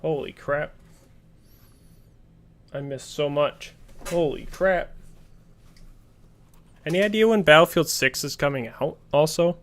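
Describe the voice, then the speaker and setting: A man with a voice worn hoarse from talking, chatting casually with his stream audience while playing a console game and reading chat aloud. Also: fairly high pitch, slow, pauses often. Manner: chatty, reads chat aloud, bursts into loud excited exclamations. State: losing his voice, sore throat. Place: at home playing a console shooter. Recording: loud game noise behind voice. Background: game gunfire, artillery and vehicles.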